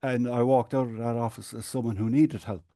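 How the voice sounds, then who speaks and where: An Irish man talks in a measured, explaining way, often restarting a phrase. His voice is mellow at a middle pitch. The sound is dry and close. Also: fairly even intonation, no room sound. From Ireland. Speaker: guest, support group administrator. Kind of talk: earnest conversational interview.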